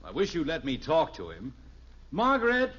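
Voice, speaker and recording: High-voiced, blustery radio sitcom dad. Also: male voice, huge pitch swings, moderate pace, fairly bright tone, slightly rough, heavily acted. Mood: flustered. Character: blustery, easygoing middle-aged sitcom father. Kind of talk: 1950s radio sitcom acting. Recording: muffled, hissy old radio recording.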